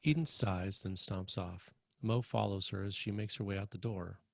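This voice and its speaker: A man reading screenplay stage directions as scripted narration, with a husky, gravelly voice. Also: low-pitched, normal speed, no echo, studio quality.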